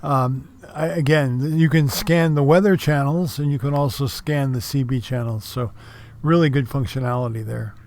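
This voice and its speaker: A man's voice: mid-range voice, steady tone, normal speed, darkish tone. A man giving an informal, explanatory product review in a casual narrating style.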